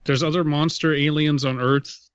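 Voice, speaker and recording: Male podcast co-host, slightly high voice, deadpan joking, dry lo-fi sound. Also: moderate pace, clean tone, no echo. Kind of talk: casual comedic banter. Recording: dull, lo-fi but dry track.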